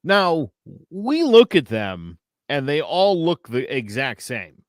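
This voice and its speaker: A man co-hosting a casual podcast, bringing up topics and telling stories in a relaxed way. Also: a little high, slow pace, dry recording, studio quality. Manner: relaxed, storytelling, raises topics. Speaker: podcast co-host.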